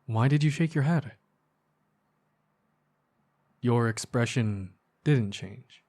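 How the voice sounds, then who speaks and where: An earnest young male best friend played by a man in an ASMR roleplay. He speaks at an average male pitch with casual, hesitant phrasing, in an intimate, soft-hearted way, as if to one close listener. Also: measured pace, close to an everyday voice. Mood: worried, gentle.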